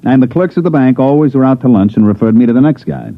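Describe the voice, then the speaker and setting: A man's voice: mid-range voice, fast talker, phone-quality sound, natural voice. An American man playing a wry, hard-boiled government investigator, acting and narrating in a sardonic 1950s radio drama style. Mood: dry, casual. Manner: wisecracking, sardonic delivery. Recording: thin, band-limited old radio recording with music.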